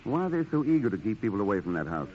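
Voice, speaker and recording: An actor playing a suave, persistent investigator with clipped, probing questions in a 1940s radio drama. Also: male voice, lowish voice, sing-song, rapid-fire. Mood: suspicious. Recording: muffled noisy old radio recording.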